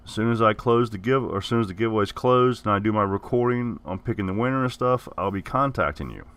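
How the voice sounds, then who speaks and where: Deep-voiced man getting over the flu. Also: fast, fairly bright tone, clear, resonant tone. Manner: rambling, repeats and corrects himself. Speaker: gaming channel host. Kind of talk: casual giveaway announcement.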